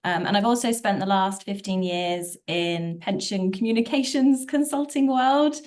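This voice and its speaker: A woman's voice: medium pitch, normal speed, a little mellow. A woman, a travel coach, speaking enthusiastically with frequent fillers on an online panel.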